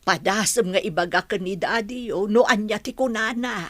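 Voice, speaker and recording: Woman as village grandma, northern Filipino accent, mid-pitched, patient and advising, dry close recording. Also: moderate pace, a bit bright, dry recording, natural voice. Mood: gently instructing. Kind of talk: radio drama acting.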